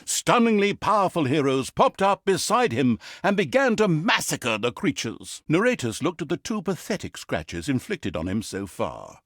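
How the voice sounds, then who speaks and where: Wry theatrical narrator for a fantasy game, bit raspy, super animated, mocks the whole epic saga. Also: male voice, on the high side, moderate pace, few pauses, dry recording, studio quality, heavily acted. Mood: mock-grand. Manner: wry, mock-dramatic, sardonic. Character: wry, mischievous male storyteller mocking an evil fantasy saga. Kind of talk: theatrical comic storybook narration.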